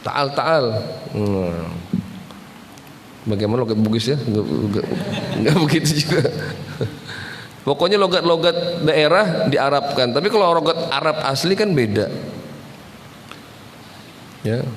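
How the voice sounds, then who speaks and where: A man's voice: mid-range voice, lively intonation, unhurried, long pauses, slight background noise. A man giving a casual, joking religious talk as a preacher, often mimicking regional accents. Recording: long hall reverb, faint ambience.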